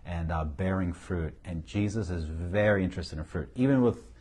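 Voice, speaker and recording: Middle-aged syrian-accented guy, deep voice, pastor chatting on a couch, lapel mic. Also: normal speed, darkish tone, slightly rough. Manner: emphatic, repeats key phrases, chuckles. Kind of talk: casual ministry talk. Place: indoor office, seated on couch.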